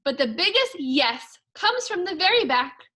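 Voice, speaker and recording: A woman teacher reading a storybook aloud for young children, expressively and in measured phrases. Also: extremely high pitch, very animated, pushed and bright. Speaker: second grade teacher.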